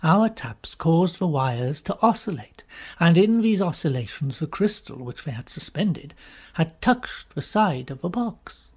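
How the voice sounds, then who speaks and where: Male storyteller for audiobook fiction, husky and breathy, theatrical delivery with emphatic pauses. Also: on the high side, big pitch swings. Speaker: audiobook reader. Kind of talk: dramatic first-person storybook narration.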